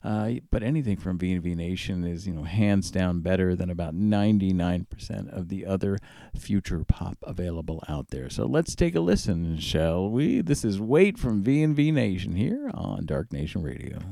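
Low, gravelly male radio host. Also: lively intonation, normal speed, warm tone, no echo. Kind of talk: casual music radio presenting.

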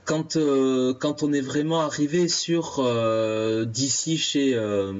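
A man in his thirties from France, chatting casually on a comics podcast, halting and searching for his words. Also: mid-range voice, a crowd in the background. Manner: hesitant, playful, searching for words. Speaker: podcast co-host. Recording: dull lo-fi sound.

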